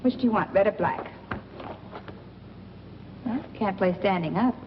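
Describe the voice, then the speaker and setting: A woman's voice: mid-range voice, unhurried, close to an everyday voice. Actress as wisecracking flirty 40s noir woman, small town and restless, muffled film sound w/ some noise. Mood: flirtatious, probing. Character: worldly, teasing small-town woman itching to leave. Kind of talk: 1940s film noir acting.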